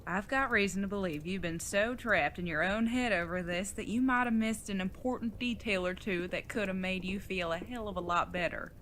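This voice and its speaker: Woman playing bright-voiced, wry old outlaw mother. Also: mid-range voice, moderate pace, few pauses, slight background noise, natural voice. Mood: reassuring, frank. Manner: folksy, plain-spoken, teasing, rural idiom. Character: retired outlaw mother, older, wry, folksy and affectionate. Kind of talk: audio drama acting.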